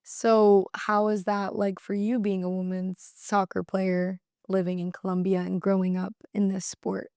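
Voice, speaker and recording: A woman's voice: mid-range voice, completely flat delivery, measured pace, hardly any pauses, a little mellow, smooth, no room sound, studio quality. A woman hosting a podcast, asking questions in an upbeat, encouraging way. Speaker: podcast host. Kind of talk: interview questions.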